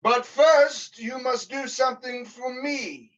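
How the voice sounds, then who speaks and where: A booming, grandiose Oz, as a man plays him, high-pitched and slow, with a bright, ringing edge. He gives orders and mocks like a tyrant. The sound is distant and dull, like a lo-fi home mic. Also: fairly even intonation, exaggerated voice. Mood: commanding. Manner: commanding, grandiose, mocking. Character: booming theatrical male Oz, the hospital Wizard. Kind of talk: livestream script reading.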